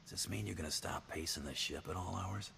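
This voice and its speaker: A very deep, terse criminal partner. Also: male voice, fairly quick, gravelly, a little hiss or hum, exaggerated voice. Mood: deadpan. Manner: terse, deadpan. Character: cool, dry male partner in crime, terse and steady. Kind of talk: video game character dialogue.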